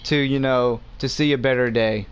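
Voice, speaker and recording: A man's voice: medium pitch, steady tone, normal speed, clean tone. A young man with a Southern US accent, hosting a gaming video and talking earnestly to his viewers over a headset. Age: young adult. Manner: earnest, talks straight to viewers as "guys". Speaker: gaming channel host. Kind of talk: casual chat while playing a game.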